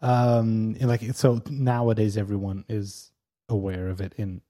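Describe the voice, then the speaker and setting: Mellow-voiced man, halting. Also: on the low side, level tone. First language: Portuguese. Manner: hesitant, full of fillers, chuckles often. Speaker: podcast co-host. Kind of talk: casual podcast chat.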